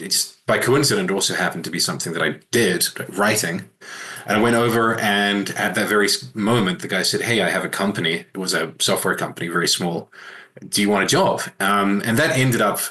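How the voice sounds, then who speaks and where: Middle-aged New Zealand man, low voice with a bright edge, easygoing and self-deprecating. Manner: relaxed, self-deprecating storytelling. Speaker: author guest. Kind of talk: conversational interview.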